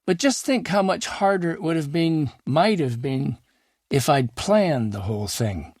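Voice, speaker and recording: A man's voice: fairly high pitch, lively intonation, a little slow, darkish tone, no echo, studio quality, voice pushed up. A man voicing an older man reading out his own rueful, confessional letter in a reflective, wry, plainspoken way.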